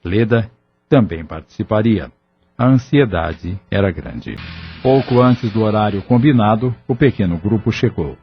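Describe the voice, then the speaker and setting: A man's voice: fairly low pitch, lively intonation, measured pace. A man reading scripted narration for an audio drama. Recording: dull lo-fi audio. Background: background music.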